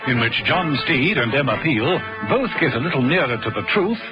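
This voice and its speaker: Animated male radio serial narrator over music. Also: medium pitch, normal speed, low-quality recording. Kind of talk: scripted radio drama narration.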